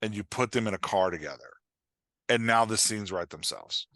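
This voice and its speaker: Bright-voiced man explaining casually. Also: lowish voice, moderate pace, no echo, studio quality. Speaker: guest, writing coach. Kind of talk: casual explanatory podcast interview.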